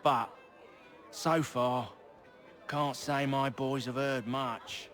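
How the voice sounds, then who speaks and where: An actor playing a brash, scrappy young rogue and fixer in a colonial-era video game, slangy and cheeky. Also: male voice, medium pitch, fairly even intonation, drawn-out words, fairly bright tone, faint music behind. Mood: earnest, obliging. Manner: slangy, cheeky, rough-spoken. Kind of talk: video game cutscene acting.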